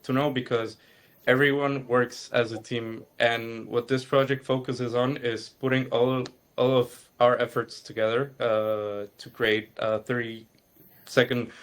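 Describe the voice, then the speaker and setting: A young man from Guatemala speaking as an animation student on a video call, with a mid-range voice. He talks in an easy, earnest way and sometimes stops to find his words. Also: medium pitch. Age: young adult. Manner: relaxed and earnest, with some fillers. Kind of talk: casual account given in a webinar.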